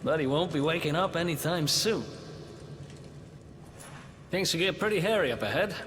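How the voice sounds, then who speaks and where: A man's voice: fairly high pitch, expressive, moderate pace, a bit bright. An actor playing a cocky young male thief in a video game, casual and streetwise with a wry, sounding delivery. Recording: game cutscene with long reverb.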